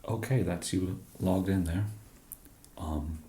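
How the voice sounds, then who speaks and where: An English man in an ASMR roleplay as a shop genius, speaking softly and hesitantly with many fillers and a dry, self-deprecating humour. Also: low voice, slow, reverberant. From England.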